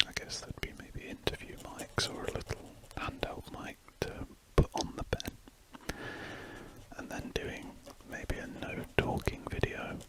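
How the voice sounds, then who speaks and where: An ASMR drawing host chatting in a soft, unvoiced whisper close to the mic, rambling and correcting themselves while drawing. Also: rough recording.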